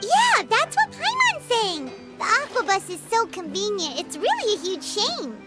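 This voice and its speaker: Super high squeaky little fairy girl game sidekick, curious n blunt, really bouncy, loud music under it. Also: huge pitch swings, measured pace, full character voice. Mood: puzzled, frank. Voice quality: squeaky, childlike. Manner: refers to self in third person, chirpy, frank. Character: small childlike chirpy female fairy companion, curious and blunt. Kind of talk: video game voice acting.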